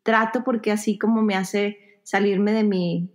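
A woman podcast guest with a medium-pitched voice answers casually from home over a video call. She speaks in short, halting pieces, feeling for the right word and often repeating herself for emphasis. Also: steady tone. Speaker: podcast guest, yoga teacher. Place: home room with bare wall, indoors.

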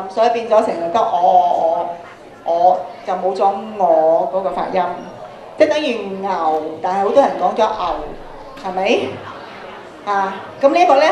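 High-voiced Hong Kong Cantonese woman trainer. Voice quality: nasal. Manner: instructive, prompting, repeats phrases. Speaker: radio drama workshop trainer. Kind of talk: interactive workshop talk to students. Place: large school auditorium, indoors. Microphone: handheld microphone over PA, recorded from audience. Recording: echoey auditorium with audience murmur.

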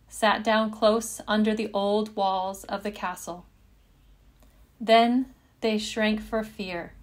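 A woman narrating a fairytale in measured, deliberate storybook phrasing. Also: mid-range voice.

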